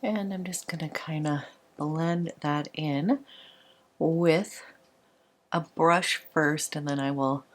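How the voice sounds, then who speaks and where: Low, slow-talking bubbly woman vlogger. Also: fairly even intonation. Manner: bubbly and chatty, fond of "a little". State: congested from a cold. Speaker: beauty vlogger. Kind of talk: makeup tutorial chat.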